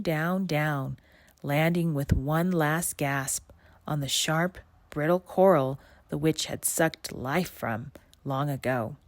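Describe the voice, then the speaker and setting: A woman reading a children's story aloud in an expressive, deliberate storytelling manner with dramatic pauses. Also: low voice, no echo, clean studio sound. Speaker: storybook reader. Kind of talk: scripted read-aloud narration.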